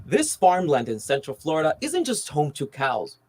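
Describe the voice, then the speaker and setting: A man's voice: on the high side, huge pitch swings, normal speed, a little mellow. An American man narrating a scripted television news report as the reporter.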